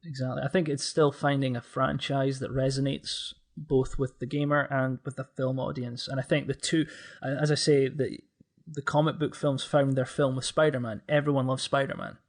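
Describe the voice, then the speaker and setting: Male podcast guest, average pitch, conversational and informal. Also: steady tone, normal speed, few pauses. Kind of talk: casual discussion.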